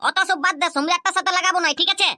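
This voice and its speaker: A woman voicing a bossy, hot-tempered village elder brother in a Purulia Bengali accent, scolding and quick. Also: very high-pitched, fairly even intonation, sharp tone, slight background noise, exaggerated voice.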